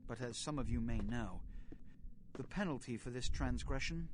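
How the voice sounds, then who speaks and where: An actor playing a solemn, pompous vampire prince in a video game, speaking in formal, measured, grandiloquent oratory. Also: male voice, mid-range voice, lively intonation, background noise, lightly acted. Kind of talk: theatrical video game speech.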